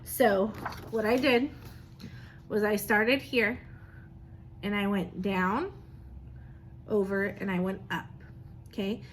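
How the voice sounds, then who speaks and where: A woman's voice: mid-range voice, fairly even intonation, slow pace, long pauses, a little hiss or hum. A woman, an elementary teacher reading and chatting to young children, often asking them questions and affirming their answers. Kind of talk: children's read-aloud and drawing lesson.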